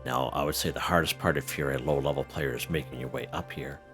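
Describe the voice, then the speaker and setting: A man hosting a casual game walkthrough, chatty and a little hoarse, who keeps checking in with "okay?" and "right?". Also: very deep voice, steady tone, quick pace, a bit bright, faint music behind. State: slightly hoarse. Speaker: gaming video host.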